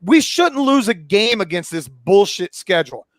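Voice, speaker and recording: A man in his forties with a notably high-pitched voice, recorded dry without room sound. He talks sports like a pundit in casual conversation. He is blunt and opinionated, and swears freely. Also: big pitch swings, normal speed, dry recording. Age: 40s. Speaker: college football pundit and podcast guest.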